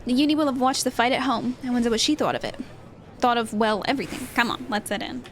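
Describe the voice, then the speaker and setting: A woman's voice: a little high, fast, lightly acted. A woman voicing an earnest, supportive young female fighter's second in video game dialogue, sincere and subdued. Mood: relieved, thoughtful.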